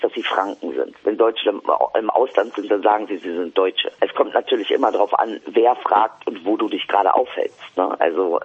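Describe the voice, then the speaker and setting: Husky man speaking bluntly. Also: fairly low pitch, muffled recording. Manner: blunt, direct, polemical. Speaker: guest, stage performer. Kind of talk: interview conversation.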